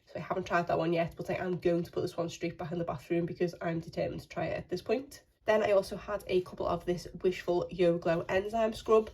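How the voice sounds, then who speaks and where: A woman beauty vlogger, croaky from a cold, chatting casually to a handheld phone. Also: fairly low pitch, level tone, quick pace, few pauses, a little mellow. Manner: apologetic, chatty, rambling. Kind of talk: casual chat to camera. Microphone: phone held in hand.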